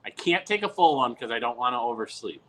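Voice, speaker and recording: Bright, forceful man bantering about sports. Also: mid-range voice, animated, fast talker. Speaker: podcast guest who works at a TV station. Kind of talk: casual, bantering sports chat.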